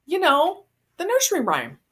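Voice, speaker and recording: A woman's voice: extremely high pitch, huge pitch swings, normal speed, a bit bright, pitched above the performer's own voice, full character voice. A woman voicing an awkward, earnest teenage boy who explains things hesitantly in a story reading. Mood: awkward, explaining.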